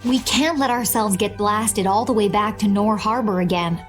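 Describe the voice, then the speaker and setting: A woman playing a composed, practical young adventurer in a video game, speaking in a level-headed, deliberate way. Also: medium pitch, faint music behind, lightly acted. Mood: sober, resolved. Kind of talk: English video game dub.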